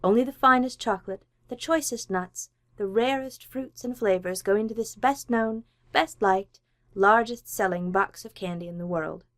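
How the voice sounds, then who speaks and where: A woman's voice: medium pitch, normal speed, no echo, studio quality. A woman announcer reading a scripted sponsor advertisement in the style of vintage radio.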